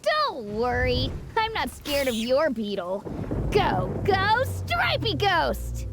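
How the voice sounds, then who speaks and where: Brief: proud young kid champ in a game, high and drawn-out, excitable. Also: background noise, exaggerated voice. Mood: childish. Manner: gloating, excitable. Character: proud little boy, cocky beetle-fighting champ. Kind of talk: video game voice acting. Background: game music.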